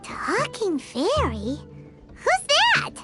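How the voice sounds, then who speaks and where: A woman voicing a small, excitable, childlike fairy companion in a video game, squeaky and perky. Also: drawn-out words, heavily acted. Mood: puzzled. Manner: perky, exclaiming, refers to herself by name. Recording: loud music behind the voice. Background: game music.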